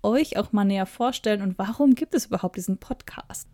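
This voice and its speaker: A woman's voice: mid-range voice, brisk, warm tone, no room sound, studio quality. A thirty-year-old woman from the Ruhr area, co-hosting a podcast in a chatty, enthusiastic way. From Ruhr area, Germany. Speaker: podcast co-host. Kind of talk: casual podcast chat.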